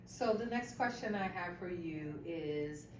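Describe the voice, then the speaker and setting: A woman with a notably low voice interviews a guest as a career coach, affirming him warmly and often asking "right?" She sounds a little distant from the mic in an echoey room. Steady background noise runs underneath her voice. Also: a little slow. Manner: affirming, frequent "right?" and "awesome". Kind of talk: encouraging interview questions.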